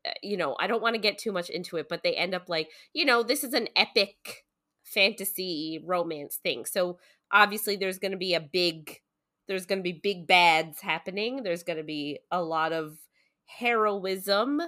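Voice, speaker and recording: Woman chatting, bright forceful voice. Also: on the low side, moderate pace. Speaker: book podcast co-host. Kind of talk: casual book discussion.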